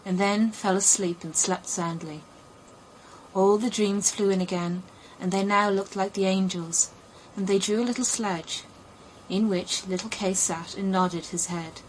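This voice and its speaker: A woman reading a fairy tale as an audiobook narrator, in an expressive storytelling manner. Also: medium pitch, a little slow, low-quality recording. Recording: clear background noise.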